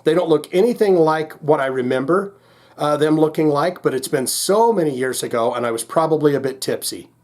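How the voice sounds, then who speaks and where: Male reaction host, slightly high register, loose slangy commentary with a joking, wandering delivery. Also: fairly quick, a little mellow. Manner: rambling, jokey, slangy. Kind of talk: casual chatty commentary, thinking aloud.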